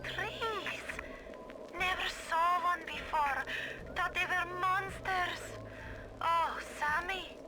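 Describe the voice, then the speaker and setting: A woman's voice: extremely high pitch, very slow, heavily acted. An actress playing a wistful, regretful mother confiding in an audio diary in a video game. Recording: very noisy old audio diary recording.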